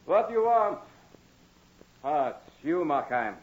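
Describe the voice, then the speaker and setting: An actor playing a miserly, suspicious old antique dealer with a testy, sardonic manner in 1940s radio drama style. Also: male voice, fairly high pitch, sing-song, slow, slightly rough, heavily acted. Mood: grumbling, irritated. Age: older. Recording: dull lo-fi 1940s radio recording.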